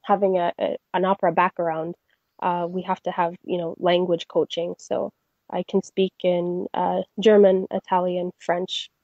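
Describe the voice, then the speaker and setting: A woman's voice: lowish voice, flat delivery, normal speed, darkish tone, dry recording, highs cut off. A young woman from Canada, a singer answering interview questions in a friendly, chatty way with frequent fillers. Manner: friendly, many fillers and restarts. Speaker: guest singer.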